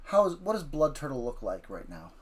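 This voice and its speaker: A man hosting an improvised story session with a child, wry and gently teasing as he narrates. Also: mid-range voice, big pitch swings, a little fast, breathy edge. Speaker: host, parent telling a story with a child.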